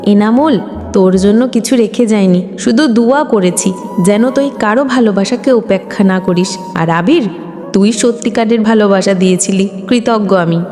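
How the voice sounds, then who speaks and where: Woman as a grave, quietly resolute young man in a sad audio story; reverberant, music bed. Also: medium pitch, normal speed, close to an everyday voice. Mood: forgiving. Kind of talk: storybook dialogue. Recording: long reverb, music underneath.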